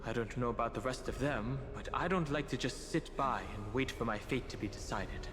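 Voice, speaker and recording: An actor playing an earnest, restless young adventurer in a video game, talking in a casual, direct way. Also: male voice, medium pitch, a little fast, big-room sound, natural voice. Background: music.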